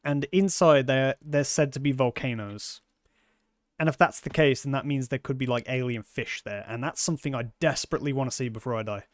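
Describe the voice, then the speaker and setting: A man's voice: mid-range voice, brisk, no echo, clean studio sound. Joking man in casual gaming chat. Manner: joking, animated storyteller. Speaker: livestream gamer.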